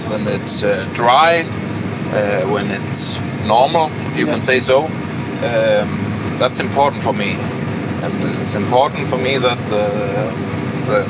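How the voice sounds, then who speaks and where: A contractor from Denmark answering interview questions in a halting way, often restarting his sentences. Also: medium pitch, heavy background noise. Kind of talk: casual interview. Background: music.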